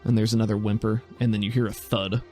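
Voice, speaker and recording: A man's voice: on the low side, level tone, quick pace, dark tone, soft background music. A man narrating a tabletop game as game master and podcast host, in an informal, descriptive storytelling way.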